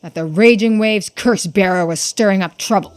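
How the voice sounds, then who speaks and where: A woman voicing a brash, suspicious, defensive islander in a video game, blunt and challenging with rough colloquial speech. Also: mid-range voice, normal speed, darkish tone, soft background music, lightly acted. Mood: accusing.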